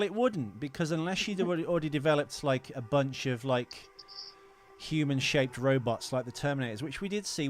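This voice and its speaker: A man's voice: medium pitch, a little hiss or hum. Rambling, opinionated British man chatting. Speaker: podcast co-host. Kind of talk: casual film review chat.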